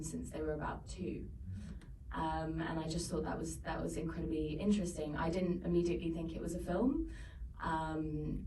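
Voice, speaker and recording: A woman's voice: low-pitched, dark tone, a little hiss or hum. A British woman from the UK, a filmmaker answering questions at a screening Q&A, hesitant with many ums and restarts. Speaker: filmmaker on a panel. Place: venue hall. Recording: roomy hall, picked up from far off.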